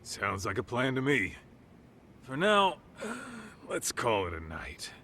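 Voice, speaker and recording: A man's voice: mid-range voice, wildly swooping pitch, takes it slowly, pushed and bright, slight background noise, heavily acted. A man playing a brash, easygoing detective sidekick in a video game dub, slangy, teasing and confident.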